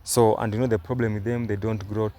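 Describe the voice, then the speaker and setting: A man's voice: lowish voice, little pitch movement, a little fast, dark tone, a little hiss or hum. A young Kenyan man whose first language is Swahili, giving hesitant, explanatory travel commentary from inside a moving car, recorded on a phone, with many fillers and restarts. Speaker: travel vlogger.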